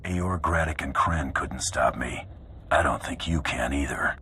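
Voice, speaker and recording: A man's voice: very deep voice, measured pace, gravelly, bright, forceful tone, a little hiss or hum, exaggerated voice. A man playing a tough, wry bounty hunter in a video game, with dry, terse delivery. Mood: stern. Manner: dry, terse, hard-boiled. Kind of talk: video game cutscene acting.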